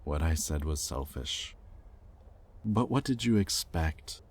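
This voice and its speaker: A man playing a possessive, conflicted demon in an intimate audio roleplay, with halting, sardonic delivery and secret tenderness. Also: extremely low pitch, animated, heavily acted. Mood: pleading, regretful. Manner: intimate, halting, sardonic, with sighs and muttered curses. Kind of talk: dramatic audio roleplay addressed to the listener.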